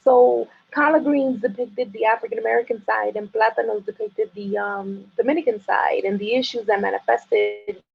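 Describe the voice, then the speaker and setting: A woman from New York speaks with a New York accent, her high voice husky with a gravelly edge. She chats as a guest on a video call, laughing often and explaining things at length. The call audio comes through dull and lo-fi. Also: moderate pace, few pauses. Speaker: interview guest. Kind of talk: casual video-call chat.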